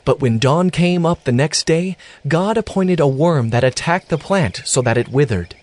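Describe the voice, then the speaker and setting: Lively, higher-pitched male scripture narrator. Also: normal speed.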